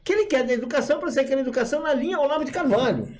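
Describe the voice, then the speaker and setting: A man's voice: extremely high pitch, lively intonation, very fast. A man giving political commentary, speaking analytically and laughing lightly as he makes his points.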